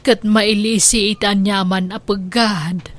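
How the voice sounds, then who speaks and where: A woman's voice: medium pitch, steady tone, slow, close to an everyday voice. A woman playing an anxious, devout and loving grandmother in a radio drama, with a tender, lamenting manner. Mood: tender, wistful.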